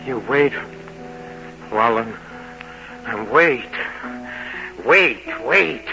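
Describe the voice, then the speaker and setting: An actor playing a tipsy, jealous, brooding man bent on revenge in an old-time radio drama, speaking in halting, repetitive, theatrical phrases. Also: mid-range voice, lively intonation, creaky, sharp tone, heavily acted. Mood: bitter jealousy. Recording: dull old radio recording, music behind.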